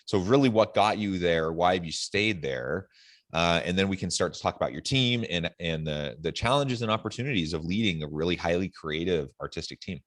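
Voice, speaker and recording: A man with a low voice hosts a podcast interview. He talks in measured phrases and stretches certain words for emphasis. The sound is very dry, with no room around it. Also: animated, a bit bright, no room sound. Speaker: podcast host. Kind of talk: podcast interview with a read-out guest introduction.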